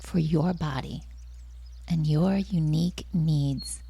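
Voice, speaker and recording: A woman with a soft, breathy voice gently leading a guided relaxation meditation in a soothing, unhurried manner. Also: sits low, slight background noise.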